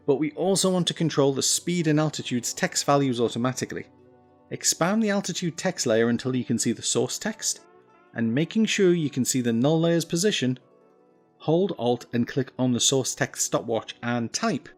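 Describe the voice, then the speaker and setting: Male tutorial narrator, medium pitch, instructional with wry self-mocking asides, light music bed. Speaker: tutorial presenter.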